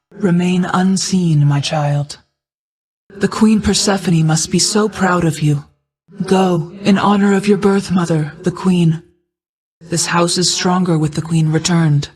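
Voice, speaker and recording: A woman playing a calm, motherly goddess of night in a video game, speaking in a measured, formal and stately manner. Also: fairly low pitch, poor audio. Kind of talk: scripted video game character lines.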